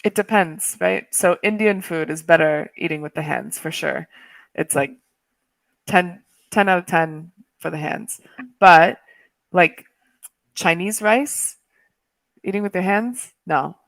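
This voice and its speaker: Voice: medium pitch, slow pace, gravelly, long pauses, a bit bright, dry recording. An English tutor chatting casually on a video call, laughing often and saying "like" a lot.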